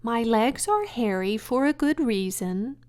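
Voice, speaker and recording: A woman's voice: on the high side, lively intonation, a little slow, a little mellow. Woman reading as a precise, patient storybook spider. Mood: matter-of-fact. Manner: precise, explanatory. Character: wise, patient female spider, gently instructive.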